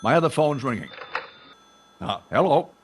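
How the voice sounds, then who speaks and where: An actor playing a polite, busy factory boss in an old-time radio sitcom. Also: male voice, on the high side, expressive, moderate pace, noisy background, lightly acted. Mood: polite, brisk.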